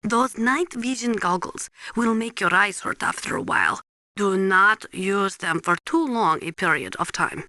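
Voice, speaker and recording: A serious female military advisor in a video game. Her voice is bright and clear-cut, sitting at a medium pitch. She explains gear calmly and precisely in measured phrases, recorded close and dry with no room sound. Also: no room sound. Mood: informative, cautioning. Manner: precise, instructive, measured delivery. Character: calm, serious female weapons expert advising a soldier by radio. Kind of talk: scripted video game briefing dialogue.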